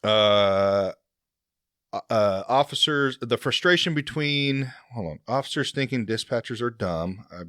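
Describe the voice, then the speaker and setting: A man's voice: mid-range voice, lively intonation, a little slow, a bit bright, clear, resonant tone. A man hosting a podcast, chatting informally and jokingly with some mild profanity. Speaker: podcast host. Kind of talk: casual interview chat.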